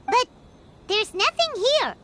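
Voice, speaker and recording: Casting: tiny game fairy girl, extremely high and squeaky, swooping, excitable yet timid, noisy background. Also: takes it slowly, full character voice. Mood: curious, doubtful. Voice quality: squeaky, childlike. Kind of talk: video game character acting. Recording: game audio with clear background noise.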